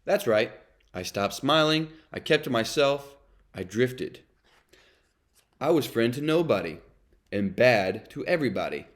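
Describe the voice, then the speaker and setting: A man's voice: mid-range voice, expressive, unhurried, pauses often, lightly acted. A man reading a children's storybook as a brooding, self-confessed bad sunflower seed, in a dramatic, confessional way. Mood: sullen. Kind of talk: children's read-aloud narration.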